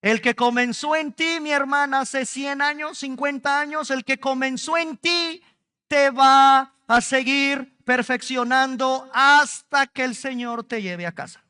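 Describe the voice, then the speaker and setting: A man's voice: extremely high pitch, measured pace, sharp tone, big-room sound. A man with a Mexican Spanish accent preaching a sermon at a church service, stretching key words and speaking straight to the congregation. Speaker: pastor.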